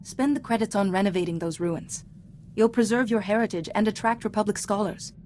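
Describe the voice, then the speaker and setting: Calm female Jedi mentor for a game, played by a woman: measured, formal, principled, mid pitch, faint ambience behind. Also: a little hiss or hum, lightly acted. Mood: calm, helpful. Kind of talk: scripted video game voice acting.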